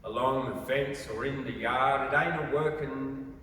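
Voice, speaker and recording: A man gives a theatrical, expressive dramatic recitation of poetry as a storyteller. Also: medium pitch, measured pace, a little hiss or hum. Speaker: poetry reader. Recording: very echoey, reverberant, distant from mic.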